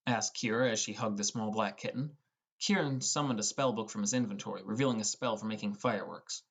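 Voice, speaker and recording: A man's voice: lowish voice, a little fast, a bit bright, slightly rough. A man reading scripted first-person narration as the narrator of an audiobook.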